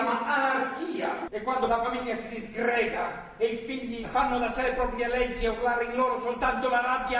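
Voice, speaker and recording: An actor playing a stern, self-righteous religious zealot in a stage drama, preachy and commanding. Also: male voice, very high voice, bright, forceful tone. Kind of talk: live stage drama acting. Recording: distant, echoey theatre recording with noise.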